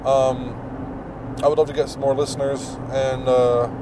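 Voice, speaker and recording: A man hosting his own podcast, with a deep, mumbling voice, rambling through plugs with lots of fillers while he drives. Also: little pitch movement, normal speed. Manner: rambling, filler-heavy, self-deprecating. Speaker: podcast host. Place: in a car while driving. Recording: distant mic with road noise.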